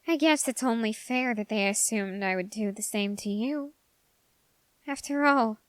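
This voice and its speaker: A woman plays a dark young man hungry for power in an intimate ASMR roleplay. The delivery is slow and formal, with dramatic pauses and silky menace. The voice is close and very dry. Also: medium pitch, no room sound, close to an everyday voice. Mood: silky, menacing. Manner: deliberate, halting phrasing with dramatic pauses.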